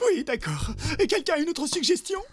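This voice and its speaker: A woman's voice: very high-pitched, wildly swooping pitch, a little fast, heavily acted. A woman voicing a small female cartoon animal, earnest and organizing, with a drily exasperated manner. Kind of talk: French animated cartoon dialogue.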